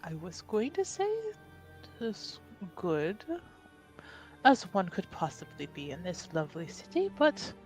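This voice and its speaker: A woman playing a poised, courteous, faintly displeased woman with formal, measured phrasing in a tabletop roleplay. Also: fairly low pitch, huge pitch swings, darkish tone, soft background music, low-quality recording.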